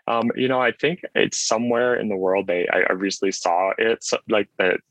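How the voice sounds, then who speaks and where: Deep, bright-voiced man telling casual stories. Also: brisk, no echo, low-quality recording. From Switzerland. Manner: chatty, self-deprecating, peppered with "like" and "right?". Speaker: podcast guest, solo business owner. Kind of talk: casual interview storytelling.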